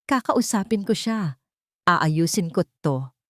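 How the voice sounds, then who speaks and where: A woman's voice: lowish voice, animated, slow, dry recording, clean studio sound. A woman voicing a torn, pleading adult son and husband in a dramatic story, weary and desperate. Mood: determined. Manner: pleading, conflicted.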